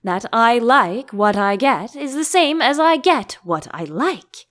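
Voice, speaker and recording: A woman acts as a contrary hare from a storybook tea party. He talks in an unhurried, animated way, picking at words like a pedant. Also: fairly high pitch. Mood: needling.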